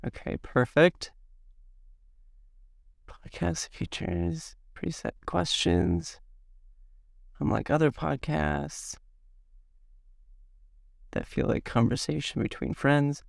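A man from the Midwest in his thirties, slightly hoarse from a cold, answering interview questions to himself in a slow, halting, reflective way. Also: fairly high pitch, very animated, dry recording, clean studio sound. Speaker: podcast guest. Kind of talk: self-interview.

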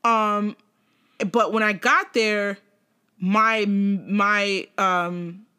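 A young woman co-hosting a podcast in casual chat, animated, laughing often and swearing freely. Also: medium pitch, extremely slow, clear, resonant tone. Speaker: podcast co-host.

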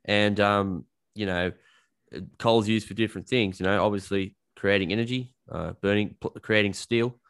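A man's voice: on the low side, fairly even intonation, moderate pace, fairly bright tone, smooth, no room sound, studio quality. An Australian man chatting casually as an interview guest, explaining things in a blunt, jokey, colloquial way. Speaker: podcast guest, coal miner. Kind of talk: casual chat, explaining his work.

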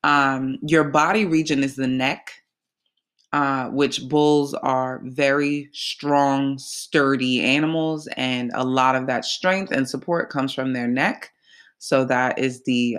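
A host speaking through a stuffy, nasal voice, taking it slow and easy. The talk wanders casually, dotted with ums and restarts, at a middling pitch. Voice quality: nasal, congested. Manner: relaxed, rambling with many fillers.

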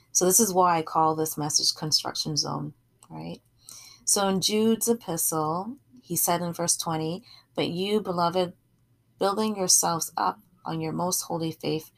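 A woman's voice: low voice, gravelly. A middle-aged woman from Barbados giving a sermon in a conversational, explanatory teaching way, often checking in with her listeners. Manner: explanatory, often checks in with "right?" and "you know". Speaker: preacher.

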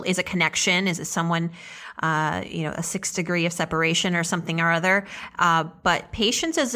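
A woman's voice: lowish voice, bright, forceful tone. A woman hosting a podcast, talking with her guest in a conversational interview style.

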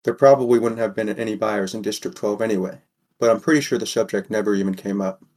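A man's voice: fairly low pitch, quick pace, darkish tone, close to an everyday voice. A man playing a wry, burdened teenage boy who narrates an audio drama in a plain, understated way. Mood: wry, reflective. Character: sixteen-year-old boy, wry, burdened, caring narrator. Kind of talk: first-person scripted narration, audio fiction.

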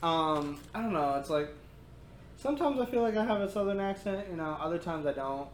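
A woman's voice: lowish voice, expressive, a little fast. A woman co-hosting a podcast in casual chat, rambling with lots of fillers. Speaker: podcast co-host. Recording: echoey room with faint noise.

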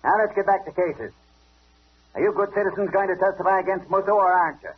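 An actor playing a tough, sly older police inspector in a 1940s radio detective drama, taunting and commanding in clipped speech. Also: male voice, high-pitched, fast, a little hiss or hum, close to an everyday voice. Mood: stern. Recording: dull old radio broadcast recording.

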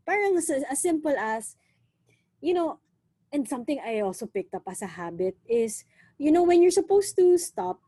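Young Filipino woman telling stories in a mellow voice over a dry close mic, lively and chatty. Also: medium pitch, no echo. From Philippines. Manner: lively, frequent "you know", mixes in Tagalog words. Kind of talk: casual conversation.

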